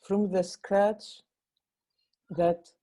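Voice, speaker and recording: A Sudanese woman film director with a slight Egyptian-tinged Arabic accent, answering questions in a fast, halting way full of restarts. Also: mid-range voice, little pitch movement, dark tone. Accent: Egyptian-influenced Sudanese Arabic. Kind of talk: festival Q&A conversation.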